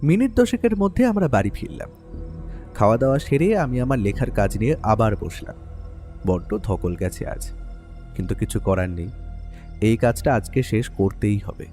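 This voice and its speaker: Man as expressive, mellow Bengali storyteller. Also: lowish voice, normal speed, faint music behind, close to an everyday voice. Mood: reflective, narrating. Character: easygoing male writer, the detective's companion, narrating events. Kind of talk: first-person Bengali story narration.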